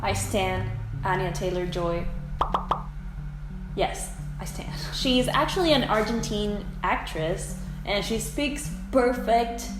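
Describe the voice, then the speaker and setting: A woman's voice: mid-range voice, lively intonation. A young woman from the who speaks English, chatting in a giggly, casual vlog style from her apartment. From Dominican Republic. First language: Spanish. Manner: chatty, giggly, quick asides. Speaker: vlogger. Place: apartment room. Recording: echoey room with background music.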